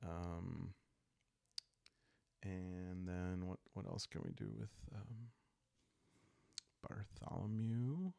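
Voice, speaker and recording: A man calmly narrating a solo journaling game, soft-spoken and musing, halting as he improvises aloud. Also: low-pitched, creaky, no echo. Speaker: solo roleplayer and show host.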